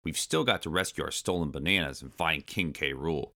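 A man voicing a steady, decisive gorilla leader in a storybook. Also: rumbling low, fairly quick, full character voice. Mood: resolute.

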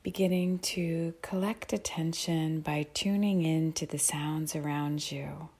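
A woman guides a mindfulness meditation with a low-pitched, mellow voice. Her delivery is very slow and soft, with calm, measured phrases and unhurried pauses. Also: husky.

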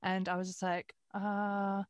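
A woman's voice: medium pitch, level tone, unhurried, no room sound. Eager woman newcomer, dry close sound. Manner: enthusiastic, rambling with fillers and restarts. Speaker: voiceover newcomer. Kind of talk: casual podcast chat.

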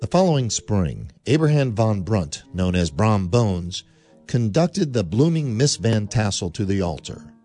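A male narrator telling a radio play with lively, swinging pitch and theatrical flair. Music plays clearly beneath him. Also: a little slow. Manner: theatrical, building tension.

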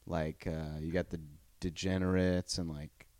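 Very deep-voiced laid-back man. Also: level tone, a little slow, a little mellow, clear, resonant tone, no echo. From North Carolina. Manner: rambling, laid-back storytelling with many fillers. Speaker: comedian guest. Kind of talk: casual podcast chat.

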